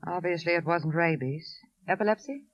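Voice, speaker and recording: Actress as insistent low-voiced woman sleuth, vintage radio. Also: moderate pace, dry recording, natural voice. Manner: insistent, probing. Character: sharp, inquisitive wife who writes pulp mystery stories. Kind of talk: 1950s radio suspense acting. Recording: muffled 1950s radio recording.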